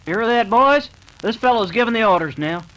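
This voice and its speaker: A man's voice: sits high, animated, moderate pace, pushed and bright, low-quality recording. A man playing an earnest, protective young railroad foreman with homespun talk in an old-time radio western. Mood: rallying.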